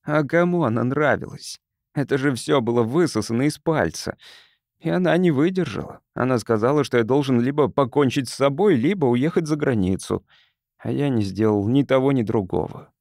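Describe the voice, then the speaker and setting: A man's voice: mid-range voice, moderate pace, a little mellow, dry recording, studio quality, natural voice. A man voicing a desperate, hungry, bitter unemployed man in an audiobook, pleading for help. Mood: bitter, resigned. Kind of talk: audiobook character dialogue.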